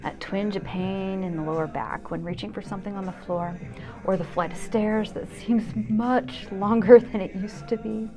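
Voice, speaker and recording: A woman's voice: medium pitch, steady tone, normal speed, music in the background. A woman in her fifties hosting a solo show, narrating a scripted personal essay in a wry, candid manner. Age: 50s.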